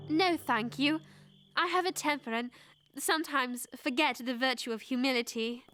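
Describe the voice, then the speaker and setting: High-voiced proper English teenage girl. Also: normal speed, fairly bright tone, soft background music. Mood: prim.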